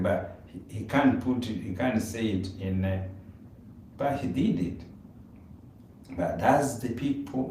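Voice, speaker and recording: Man, mellow dark voice, rambling casual talk, very echoey room, mic far off. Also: medium pitch. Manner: rambling, repeats words, non-native grammar. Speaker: live stream co-host. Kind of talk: casual conversation on a live stream. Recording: echoey room, distant mic, faint noise.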